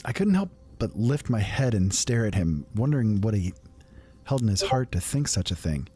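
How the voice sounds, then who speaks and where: A man with a lowish, mellow voice narrates a fantasy tale in the first person. His telling is calm, unhurried and conversational. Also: soft background music. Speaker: storyteller.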